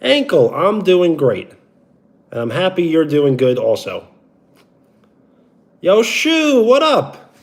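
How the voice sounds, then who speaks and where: A man's voice: fairly high pitch, huge pitch swings, slow pace, long pauses. Brooklyn man greeting his live chat. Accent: Brooklyn, New York. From New York. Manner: greets chatters with a loud, stretched-out "yo"; talks like a sports radio personality. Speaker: live streamer. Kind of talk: casual live stream chat with viewers.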